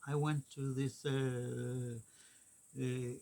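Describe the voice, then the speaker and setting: Slow gravelly man. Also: mid-range voice, little pitch movement, warm tone. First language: Swedish. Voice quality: gravelly, husky. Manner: halting, many pauses and fillers. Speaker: vlogger. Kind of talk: rambling casual monologue.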